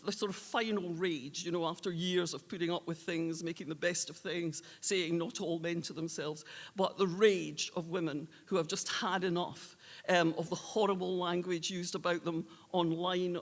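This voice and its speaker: A woman presenting awards in an unscripted, halting, long-winded and earnest way. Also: on the low side. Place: indoor awards hall. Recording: reverberant hall.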